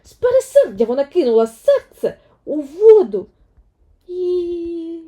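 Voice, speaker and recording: A woman narrating a fairy tale for children, expressively and dramatically, with deliberate emphasis. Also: very high voice, big pitch swings, dark tone. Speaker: children's storyteller.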